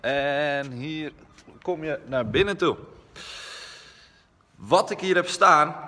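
A young man with a Netherlandic Dutch accent talks to his phone like a trainer showing people around. His voice is bright and clear-cut, and he explains things in a conversational way. The small indoor rooms add a long, ringing reverb. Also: fairly high pitch. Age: young adult. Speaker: personal trainer and gym owner. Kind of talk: informal vlog tour to camera. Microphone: phone at arm's length.

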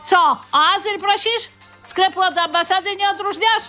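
An actress playing a crude, mocking, xenophobic woman shopkeeper in a radio drama, jeering loudly and drawing out her words. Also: very high-pitched, fairly even intonation, soft background music. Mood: scornful. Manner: jeering, loud, drawn-out and cackling. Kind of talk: Polish radio drama acting.

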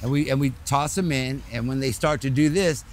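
A man's voice: mid-range voice, brisk, a crowd in the background. A man speaking casually as a dog trainer, explaining in a hands-on way and repeating phrases for emphasis. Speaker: dog trainer being interviewed. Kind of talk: casual explanation in group chat.

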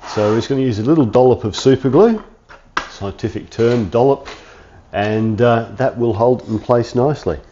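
A man in his forties with a cold and sniffles, chatting through a hands-on workshop tutorial in a relaxed, rambling way from his home garage. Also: medium pitch, normal speed, lo-fi sound, slight background noise. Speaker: workshop channel host.

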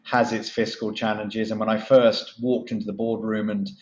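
A man in his fifties with a low voice speaks as an executive guest in an interview. He is emphatic and conversational, dropping in asides as he goes. The sound is roomy and slightly dull, like a computer microphone on a video call in an office. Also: little pitch movement, normal speed. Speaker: postal company chief executive, interview guest. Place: office, indoors.

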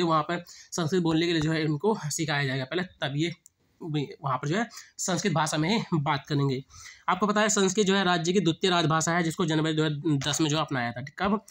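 A man's voice: a little high, fairly bright tone. A young man with a sore throat explains quiz answers over slides, asking a question and then repeating the answer. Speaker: exam prep teacher. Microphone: screen-recording voice-over.

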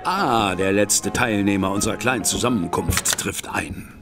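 An actor playing an inquisitive male gentleman detective in a radio drama, speaking in clipped questions. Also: fairly low pitch, moderate pace, over music, natural voice.

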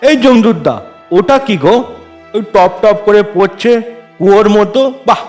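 A man acts a naive, talkative cartoon everyman. His voice is high for a male, familiar and inquisitive, with soft music behind it. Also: moderate pace, natural voice. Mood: curious. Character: naive, chatty living man wandering curiously among the dead. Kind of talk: Bengali cartoon voice acting.

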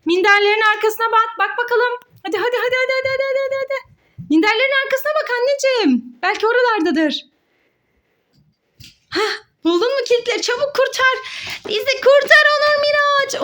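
A woman hosting a children's play challenge as a mother, giving excited, encouraging play-along commentary in a sing-song way with repeated words. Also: extremely high pitch, background noise.

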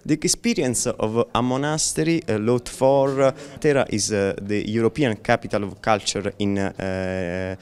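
A man asking interview questions haltingly. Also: on the low side, darkish tone, clear, resonant tone.

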